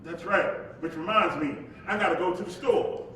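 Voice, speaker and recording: Actor playing a high-voiced young husband, echoey stage. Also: male voice, bright, forceful tone. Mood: teasing. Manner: halting, repeats words, chuckling delivery. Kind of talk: live staged play reading, storytelling monologue and dialogue. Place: large echoey room, live staged reading. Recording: very echoey live hall, distant mic, faint noise.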